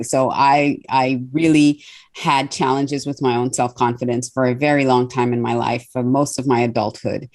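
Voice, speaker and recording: A woman's voice: extremely low pitch, a little mellow. A middle-aged woman from Trinidad and Australia, a confidence coach answering interview questions thoughtfully. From born in Trinidad, raised in Australia. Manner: reflective, thoughtful with many fillers.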